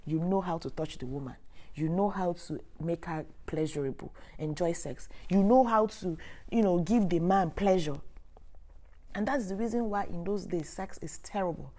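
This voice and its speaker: A woman's voice: lowish voice, normal speed, warm tone. A woman with a Nigerian Igbo accent, a native Igbo speaker, talking emphatically to a live online audience as host, with rhetorical questions. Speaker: host, sex educator.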